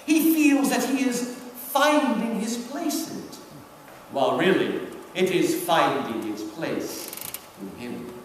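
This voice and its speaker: A man's voice: high-pitched, expressive, slow pace. A man playing a scheming, smug senior demon in a dramatic literary reading, savoring his phrases with pompous deliberation. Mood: smug, gloating. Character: Screwtape, scheming senior demon, smugly theatrical tempter. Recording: echoey hall, distant mic, background noise.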